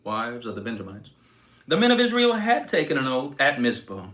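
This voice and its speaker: A man reading scripture aloud in an expressive, declamatory way, giving dramatic weight to quoted speech. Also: mid-range voice, moderate pace. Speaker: reader.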